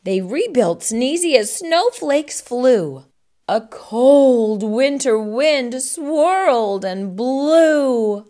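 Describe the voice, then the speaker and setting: A middle-aged woman narrates a children's storybook. Her voice is high and lively in melody, and she takes her time with each phrase as a storytime host. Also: extremely slow. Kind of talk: expressive children's storybook read-aloud.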